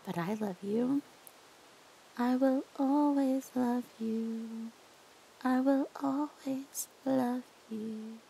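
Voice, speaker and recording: A woman voicing a possessive, obsessive female captor in an audio roleplay, crooning softly and slipping into whispers. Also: high voice, slow pace, vocal fry, few pauses, slight background noise, pitched above the performer's own voice, full character voice, low-quality recording. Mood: soothing. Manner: soft crooning, slipping into whispers and half-sung lines.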